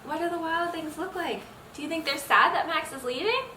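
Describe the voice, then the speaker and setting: A young adult woman reading a picture book aloud to children, expressive and full of engaging questions. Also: extremely high pitch, brisk. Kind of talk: children's read-aloud storytelling. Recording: roomy echo, distant mic, faint noise.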